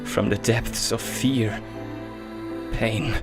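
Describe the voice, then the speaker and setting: An actor playing a gentle, timid, earnest boy in an anime-style dub, with a hesitant, introspective delivery. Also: lowish voice, steady tone, extremely slow, over music. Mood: fearful, brooding. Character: gentle, timid, earnest young boy who feels powerless.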